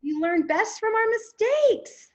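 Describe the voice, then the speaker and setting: High-voiced woman reading a rhyming children's story. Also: big pitch swings, slow, darkish tone, highs cut off. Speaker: storytime co-host reading a picture book.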